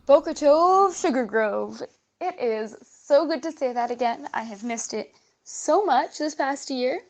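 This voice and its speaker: A woman giving an expressive, rhythmic dramatic reading as a guest storyteller for children. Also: high voice, measured pace.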